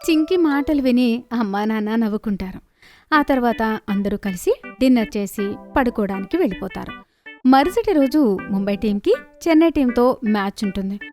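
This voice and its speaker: Lady narrating a kids cartoon story, highish voice, bit of music behind. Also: animated, moderate pace, dark tone. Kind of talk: scripted children's story narration.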